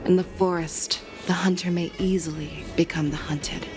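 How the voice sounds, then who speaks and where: An actress voicing a calm, shrewd woman general who speaks in a measured, commanding way as she lays out a hunt. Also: fairly low pitch, natural voice. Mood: steady commanding. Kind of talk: game cutscene voice acting. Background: game music.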